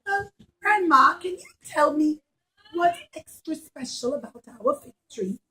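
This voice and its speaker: A woman's voice: high-pitched, expressive, a little slow, pushed and bright, full character voice, rough recording. A woman voicing a young granddaughter in a puppet show, eager and full of curious questions. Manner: inquisitive, childlike questioning.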